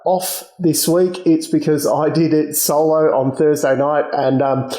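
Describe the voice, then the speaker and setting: Male host, a bit hoarse, mellow and a touch high, hesitant solo commentary in an echoey room. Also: level tone, unhurried. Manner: hesitant, many ums and restarts. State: slightly hoarse. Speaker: motorsport channel host.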